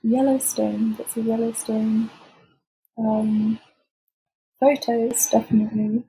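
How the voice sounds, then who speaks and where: A young woman in her twenties, chatting in a relaxed, unhurried way with a husky voice. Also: a little high, slight background noise, rough recording. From Norfolk, England. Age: 20s. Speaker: podcast guest, master's student. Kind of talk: casual chat.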